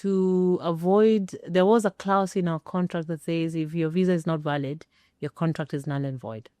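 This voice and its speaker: A middle-aged woman tells her story in an interview with a low, mellow voice. She speaks emphatically and pauses for effect. The sound is close and dry. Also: fairly even intonation, clean tone, no echo. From Kenya. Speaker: interview guest, former air traffic controller. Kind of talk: personal storytelling in a sit-down interview.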